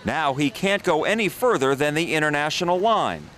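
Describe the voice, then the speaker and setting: Lively high-pitched man reporting TV news. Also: moderate pace, background noise. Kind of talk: scripted news narration.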